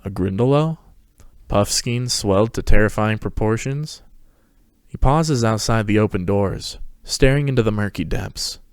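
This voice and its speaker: A man's voice: on the low side, a little slow, smooth, dry recording, studio quality. A man narrating a scripted story as a podcast host, reading it dramatically.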